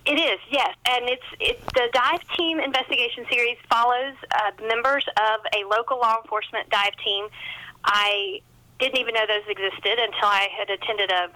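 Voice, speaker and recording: Female interview guest, author type. Very bright, forward voice, chatty and conversational, self-deprecating. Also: on the high side, fairly even intonation, moderate pace, rough recording.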